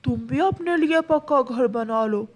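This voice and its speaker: A woman's voice: sits high, a little slow, a little hiss or hum. A woman voicing a proud, gullible male talking tree with a plaintive manner in a cartoon. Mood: plaintive, needy.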